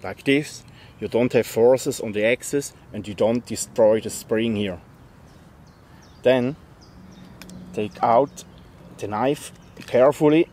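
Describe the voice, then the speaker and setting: A man's voice: mid-range voice, takes it slowly, pauses often, darkish tone, slight background noise. A man, explaining a bushcraft task step by step in a forest. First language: German. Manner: plain, friendly, step-by-step explaining. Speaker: bushcraft instructor. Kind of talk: outdoor tutorial demonstration. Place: forest outdoors.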